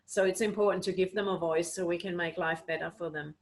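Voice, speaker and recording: A woman's voice: fairly low pitch, steady tone, fast. A woman from Switzerland, a guest animal communicator, answering interview questions in an earnest, explaining way with many "you know"s, over a video call.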